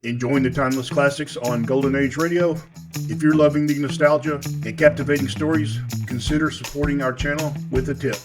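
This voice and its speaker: A man reading a scripted promotional voice-over in a polished announcing style. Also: medium pitch. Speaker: promo narrator. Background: loud music.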